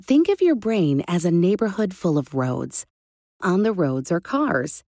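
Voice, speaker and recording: A woman voicing a patient, caring mother in a storybook, explaining things in a calm, reassuring way. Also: fairly low pitch, unhurried, dry recording, clean studio sound, exaggerated voice.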